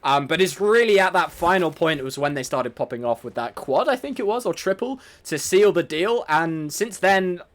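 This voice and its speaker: A man's voice: fairly high pitch, expressive, moderate pace, hardly any pauses, fairly bright tone. An Irish man casting a live esports match in an upbeat way, laughing often. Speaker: esports caster. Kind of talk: live play-by-play commentary.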